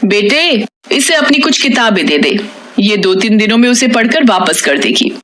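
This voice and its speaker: A woman's voice: a little high, lively intonation, normal speed, a bit bright. A woman voicing a sly, haughty middle-aged mistress of a rich house, curt and bossy.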